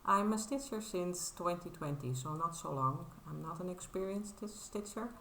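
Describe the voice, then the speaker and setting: A woman's voice: lowish voice, moderate pace, fairly bright tone, echoey room, slight background noise. A Dutch woman in her thirties, a native Dutch speaker talking casually in English on her crafting vlog, hesitant and full of fillers and restarts, modest and apologetic. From Netherlands. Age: 30s. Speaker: crafting vlogger. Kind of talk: casual show-and-tell vlog.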